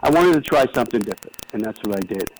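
A man's voice: lowish voice, lively intonation, racing. An older American man reminiscing in a casual, rambling and earnest way as an interview guest over the telephone. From New York City, United States. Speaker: retired basketball coach, interview guest. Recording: noisy phone interview with clicks.

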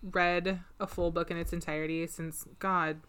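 Young woman co-host, lowish voice, relaxed slangy chat. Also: normal speed, clear, resonant tone. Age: young adult. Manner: laid-back, swears, says "dude" a lot. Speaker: podcast co-host. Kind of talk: casual chat.

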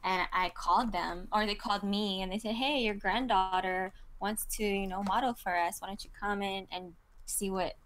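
A woman's voice: mid-range voice, steady tone, moderate pace, few pauses, a bit bright, poor audio. A woman in her twenties from Kazakhstan, a model answering interview questions in a casual, chatty way with lots of fillers and small laughs. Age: 20s. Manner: chatty, giggly, many fillers like "you know".

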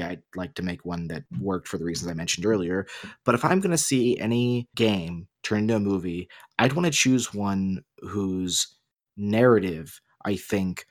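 Low-voiced man discussing opinions on a podcast. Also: no room sound, clean studio sound. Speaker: podcast co-host.

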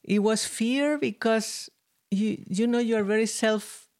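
A woman's voice: on the high side, slow pace, darkish tone, smooth. A woman in her sixties, a violinist guest, talking reflectively and earnestly in a casual interview and laughing now and then. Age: 60s.

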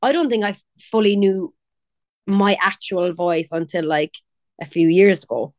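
A woman's voice: mid-range voice, measured pace, no room sound, clean studio sound. A young woman from Cork with a Cork Irish accent, chatting casually as an interview guest, laughing and quoting others. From Ireland. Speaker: pop singer, guest.

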